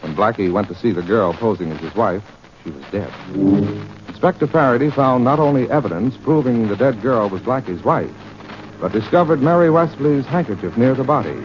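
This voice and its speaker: An actor serving as an earnest announcer, delivering dramatic scripted narration for a 1940s radio mystery. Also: male voice, mid-range voice, animated, brisk, close to an everyday voice. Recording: muffled 1940s radio recording. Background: prominent music.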